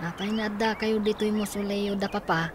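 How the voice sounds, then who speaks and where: A woman's voice: mid-range voice, steady tone, moderate pace, background noise, close to an everyday voice. A woman playing a responsible young boy, a protective older brother, in a radio drama, speaking earnestly. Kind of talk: Ilocano radio drama acting.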